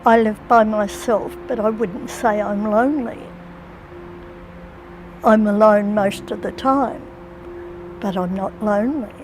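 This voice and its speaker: A woman's voice: mid-range voice, fairly even intonation, unhurried, long pauses, music in the background, low-quality recording. An older woman giving a plain personal account as an interviewee.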